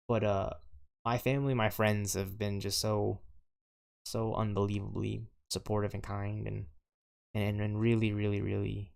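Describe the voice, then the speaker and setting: A man's voice: fairly low pitch, creaky, fairly bright tone. A man in his twenties talking casually over gameplay, rambling with many fillers and repeats. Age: 20s. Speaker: gaming streamer.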